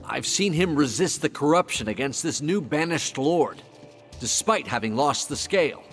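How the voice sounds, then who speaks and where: A man's voice: on the high side, lively intonation, moderate pace, a bit bright, soft background music. An actor playing a loyal, earnest male hunter in a fantasy game, speaking firmly and insistently. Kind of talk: dramatic fantasy game acting.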